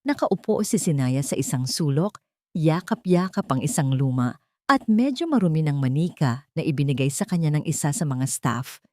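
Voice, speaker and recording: A woman's voice: deep voice, big pitch swings, normal speed, mellow, dry recording, clean studio sound. A woman giving scripted, dramatic narration of a story as its narrator.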